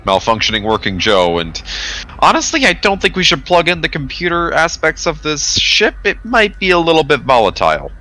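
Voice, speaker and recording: A man's voice: on the high side, wildly swooping pitch, normal speed, pushed and bright, full character voice. A man playing a skeptical, argumentative spacefarer in a tabletop game, speaking bluntly and with sarcasm. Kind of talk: tabletop game role-play.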